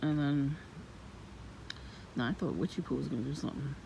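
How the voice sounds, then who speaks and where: A woman with a low voice, vlogging in a soft-spoken, rambling and suspicious way outdoors near her home. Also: moderate pace, gravelly. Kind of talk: casual rambling monologue. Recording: noisy outdoor ambience.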